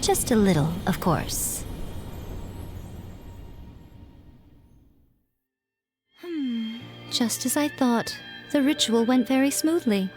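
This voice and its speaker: A woman's voice: a little high, animated, a little slow. A woman voicing a teasing, playful fox-spirit shrine priestess in a video game, languid and mischievous. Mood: wistful. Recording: game music playing faintly behind.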